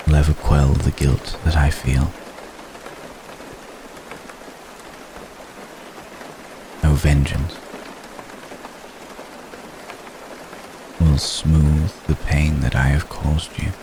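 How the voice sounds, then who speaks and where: A man playing an ancient, brooding, remorseful vampire gentleman in an intimate ASMR roleplay, speaking formally and solemnly with long, measured pauses. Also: very deep voice, heavily acted. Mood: guilty, resolute. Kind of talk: ASMR roleplay, intimate slow dramatic monologue. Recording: steady rain noise behind the voice. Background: rain.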